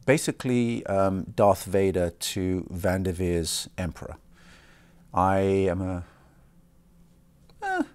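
Self-mocking middle-aged man, low voice. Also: a little mellow. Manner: chatty and self-mocking, many restarts. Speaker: actor. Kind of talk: press junket interview. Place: film set interview, indoors.

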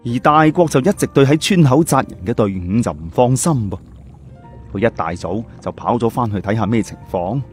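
A man's voice: medium pitch, animated, normal speed, faint music behind. A man reading scripted story narration as an audiobook narrator.

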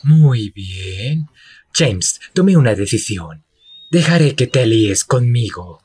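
Man as a husky, stern cartoon leader. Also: mid-range voice, slow, heavily acted. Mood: deadpan. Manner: curt, deadpan, authoritative. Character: stern, humorless male canine army leader. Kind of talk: animated fan dub acting.

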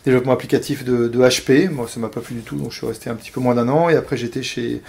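A man in his forties from Paris, speaking as an interview guest and pausing often on fillers and restarts. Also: mid-range voice, fairly even intonation, gravelly, a little mellow. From Paris, France. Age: 40s. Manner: hesitant, many fillers and restarts. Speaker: interview guest, company founder. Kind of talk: casual interview talk.

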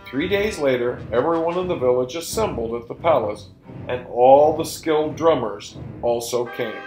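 A man's voice: mid-range voice, animated, darkish tone. Echoey man telling a story. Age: middle-aged. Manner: deliberate, emphatic storytelling delivery. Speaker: storyteller and presenter. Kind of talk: theatrical folktale narration for learners. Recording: roomy echo with music behind.

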